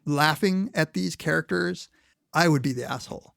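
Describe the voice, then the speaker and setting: Gravelly-voiced man in lively chat. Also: on the high side, moderate pace, no echo, studio quality. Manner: animated, laughs often, recounts scenes. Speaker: podcast co-host. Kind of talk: casual film discussion.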